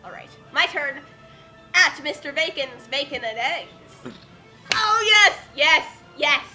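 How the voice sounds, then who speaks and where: High-pitched woman reading dramatically, unhurried. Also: lively intonation, sharp tone, soft background music. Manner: exaggerated dramatic emphasis. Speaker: dramatic reader of fan fiction. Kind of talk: theatrical comic reading of a badly written story, with banter.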